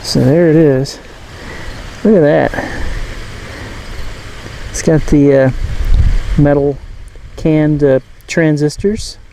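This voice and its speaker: Male hobbyist host, soft mellow voice, informal show-and-tell, halting delivery that thinks aloud. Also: on the high side, steady tone, creaky, slight background noise, low-quality recording. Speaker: host of a radio collecting channel. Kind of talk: casual hands-on gadget demonstration.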